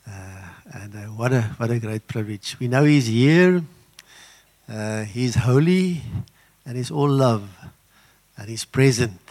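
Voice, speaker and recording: An older man, an Afrikaans speaker, preaching a sermon in an earnest, halting way with many fillers. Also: mid-range voice, a little mellow. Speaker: guest preacher.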